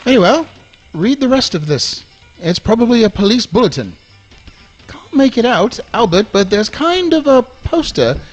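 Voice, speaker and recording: A man playing a self-important, muddle-headed owl with a husky voice in a comic radio play. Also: very high-pitched, sing-song, moderate pace, muffled recording, soft background music, heavily acted. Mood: pedantic.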